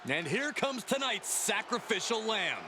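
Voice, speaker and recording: A brash wrestling commentator, pitched high and excitable. He calls the show in punchy sports commentary style over very loud arena noise. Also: measured pace. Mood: mocking.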